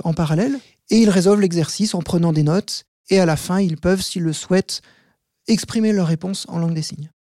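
Calm teacher guy, dark mellow voice, dry close sound, explains slowly with lots of euh. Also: sits high, no room sound. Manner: measured, explains step by step with frequent "euh" pauses. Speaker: maths teacher, podcast guest. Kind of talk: explanatory interview answers.

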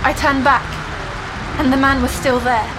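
A woman's voice: high-pitched, steady tone, moderate pace, background noise, close to an everyday voice. An actress playing a frightened woman patient recounting a nightmare in intense, dramatic horror narration. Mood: uneasy, hushed. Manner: intense, breathless storytelling that builds into panic. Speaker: actor. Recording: loud music under the voice.

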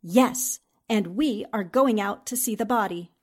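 A woman acting a brisk, eager newspaper reporter in a 1940s-style radio drama. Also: mid-range voice, natural voice. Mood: brisk, bossy.

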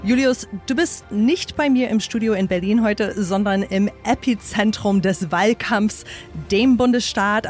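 A woman from New York State hosting a political podcast in a studio, moderating clearly and evenly. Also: mid-range voice, a little mellow. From USA, New York State. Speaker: podcast host.

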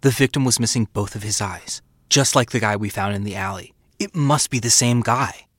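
A man's voice: lowish voice, moderate pace, fairly bright tone, no echo, studio quality. An actor playing a keen police detective in his thirties in a crime audio drama. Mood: intrigued.

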